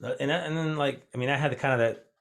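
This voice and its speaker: A man's voice: mid-range voice, rapid-fire, gravelly, a bit bright. A man hosting a casual podcast interview, a Spanish teacher whose first language was Spanish, talking in a rambling way full of fillers and restarts. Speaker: podcast host.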